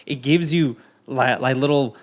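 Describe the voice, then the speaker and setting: A man hosting a podcast in casual chat, with a quick, upbeat way of talking. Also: medium pitch. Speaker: podcast host.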